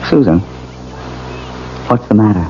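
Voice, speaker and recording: An actor playing a breezy, affectionate new husband in a 1970s radio drama, chatty and animated. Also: male voice, lowish voice, measured pace. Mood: jovial. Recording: muffled old radio, faint noise.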